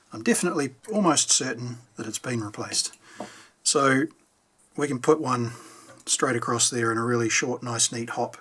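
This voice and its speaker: Husky-voiced New man, thinking aloud. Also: mid-range voice, sharp tone. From New Zealand. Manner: unscripted, thinking aloud while working. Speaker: hobbyist radio repairer presenting a how-to video. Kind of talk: casual hands-on repair commentary.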